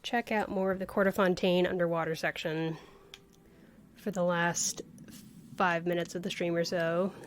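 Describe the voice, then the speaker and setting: A woman's voice: on the low side, steady tone, unhurried, pauses often, slight background noise. A woman giving casual livestream commentary on a video game, thinking aloud in a playful, exclaiming manner. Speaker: game streamer. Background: game music.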